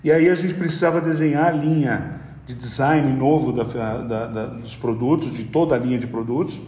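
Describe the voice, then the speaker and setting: A middle-aged man with a Brazilian Portuguese accent talks through his business experience in a relaxed, meandering way. He keeps restarting and repeating little words as he explains. His average-pitched voice carries a noticeable room echo. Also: normal speed. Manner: hesitant, repeats words while explaining at length. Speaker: podcast guest, company executive. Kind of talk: conversational business storytelling. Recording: roomy echoey room.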